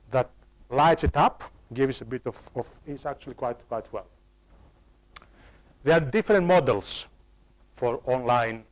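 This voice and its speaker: A man of ordinary pitch giving a workshop talk on designing online courses. He speaks in a halting way, restarting phrases and doubling words, and he turns to his listeners with quick questions. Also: a little mellow. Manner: halting, restarts and repeats words while explaining; addresses the audience directly with questions. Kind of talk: live workshop talk to staff.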